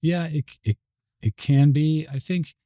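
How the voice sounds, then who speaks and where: A middle-aged man from Montreal with a Canadian accent, talking casually and reflectively as an interview guest, with halting phrasing. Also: fairly high pitch, mellow, no echo, studio quality. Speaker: advertising creative executive, interview guest.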